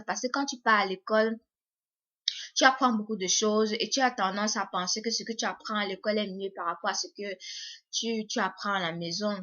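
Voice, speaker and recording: A woman's voice: mid-range voice, fairly bright tone. A young woman, vlogging to her viewers in a hesitant way, often repeating words and restarting. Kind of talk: casual monologue to viewers. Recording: slightly muffled lo-fi audio.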